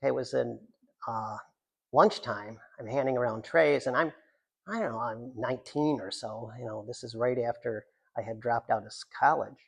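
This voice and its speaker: Man, writer, heavy vocal fry, mid-range pitch, live literary reading, chatty self-deprecating asides. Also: moderate pace. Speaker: writer reading from own work.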